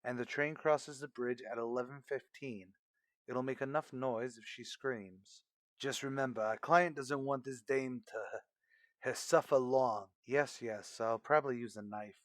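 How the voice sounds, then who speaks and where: An actor playing a callous criminal plotting over the telephone in a radio drama, clipped and matter-of-fact. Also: male voice, mid-range voice, fairly even intonation, a little slow, dry recording, clean studio sound, close to an everyday voice. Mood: cold, businesslike. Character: cold-blooded hired killers plotting by telephone. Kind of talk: radio crime drama acting.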